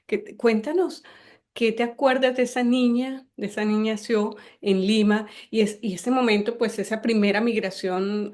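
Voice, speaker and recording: A woman hosting a casual interview, asking warm and encouraging questions. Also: mid-range voice. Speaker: host.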